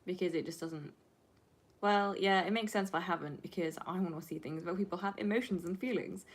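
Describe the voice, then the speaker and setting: A woman in her twenties with a slightly low voice talks casually to a livestream. Her first language is Flemish Dutch. She rambles candidly, swearing and stressing her points as she goes. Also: a little fast. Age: 20s. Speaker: livestreamer and film student. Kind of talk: casual livestream chat answering viewer questions.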